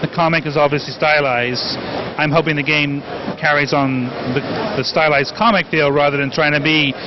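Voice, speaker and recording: A man's voice: on the high side, steady tone, normal speed. A man working as an interviewer, putting casual questions on location at a convention booth. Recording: dull sound, clear convention floor noise.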